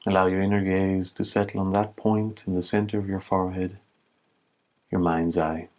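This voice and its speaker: A man giving guided meditation instruction as a teacher, in measured short phrases with long pauses. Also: deep voice, flat delivery, a little mellow.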